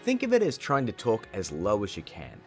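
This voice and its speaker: A man's voice: fairly low pitch, wildly swooping pitch, brisk, faint music behind. A man in his thirties hosting a casual video tutorial, playful, chatty and informal in his delivery. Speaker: tutorial host.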